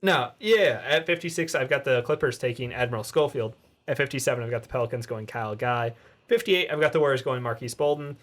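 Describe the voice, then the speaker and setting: A man co-hosting a sports podcast, reading his draft picks fast with casual jokes. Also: mid-range voice, a bit bright. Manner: casual, joking, laughs mid-list. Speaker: podcast co-host. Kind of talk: rapid-fire mock draft reading.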